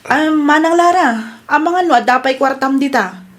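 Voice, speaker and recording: An actress with a Filipino accent playing a young college student, a pleading little sister, in an Ilocano radio drama. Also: female voice, sits high, moderate pace, natural voice.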